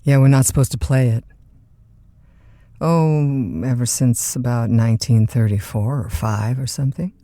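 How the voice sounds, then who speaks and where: A woman's voice: rumbling low, normal speed, dark tone, dry recording, studio quality. A woman playing a chatty, lonesome overnight disc jockey in an audio drama, with a folksy, polite, hesitant conversational manner. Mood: friendly, obliging. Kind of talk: naturalistic audio drama acting, one side of phone calls.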